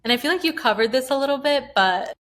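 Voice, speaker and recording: A woman hosts a podcast interview, speaking in a warm, affirming way. She reflects aloud and asks questions with a conversational ease. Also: high-pitched, animated, fast. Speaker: podcast host.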